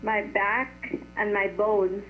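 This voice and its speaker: A woman's voice: medium pitch, flat delivery, takes it slowly, a bit bright. A woman, calling in to a show for advice. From Guyana. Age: 60s. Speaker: caller seeking advice. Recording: phone call with electrical hum.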